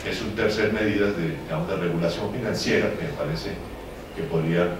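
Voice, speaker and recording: Male forum panelist, halting delivery full of fillers, heard from a distance in a very echoey hall. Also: deep voice, background noise. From Venezuela. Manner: hesitant, many fillers and restarts. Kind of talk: public policy talk. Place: conference hall.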